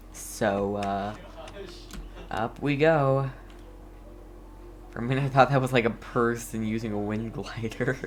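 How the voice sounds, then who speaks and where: Game streamer, slow delivery, casual rambling commentary, chats to viewers, slight background noise. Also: mid-range voice. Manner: rambling, talks to self and viewers. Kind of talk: casual chatty gameplay commentary.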